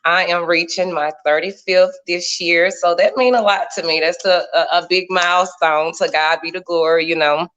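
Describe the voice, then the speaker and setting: A woman in her thirties, a guest on a streamed chat show, talking about her life with lively, laughing energy and churchy turns of phrase. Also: lowish voice, normal speed, hardly any pauses. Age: 30s. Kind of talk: casual chat. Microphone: video call.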